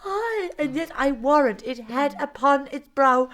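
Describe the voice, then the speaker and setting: A slow-talking, garrulous old nurse. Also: female voice, high voice, animated, a bit bright, a little hiss or hum. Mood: amused rambling. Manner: rambling, dramatic, chuckling storyteller. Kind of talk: Shakespearean audio drama acting.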